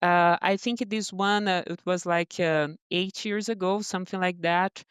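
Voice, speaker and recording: Brazilian woman guest, smooth low voice, dry close sound, halting English. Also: fairly even intonation, dry recording. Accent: Brazilian Portuguese. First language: Portuguese. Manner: hesitant, many fillers and restarts. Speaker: guest, brand partnerships director. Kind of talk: podcast interview answers.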